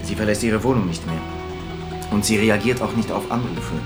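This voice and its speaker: An actor playing an ambitious, earnest television executive in a radio drama, with a pleading edge. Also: male voice, on the low side, normal speed, music in the background, lightly acted. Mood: earnest, insistent.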